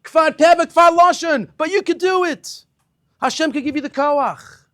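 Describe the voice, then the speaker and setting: A middle-aged man, a rabbi giving an animated yeshiva talk, acting out dialogue and mixing Hebrew terms into English. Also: unhurried. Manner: animated, acts out dialogue with big pitch swings. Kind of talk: animated Torah lecture to students. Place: yeshiva classroom.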